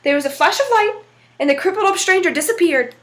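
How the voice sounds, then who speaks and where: High-voiced woman telling a folktale. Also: lively intonation, fast, a bit bright. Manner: folksy, dramatic storytelling. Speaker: storyteller. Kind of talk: read-aloud folktale narration.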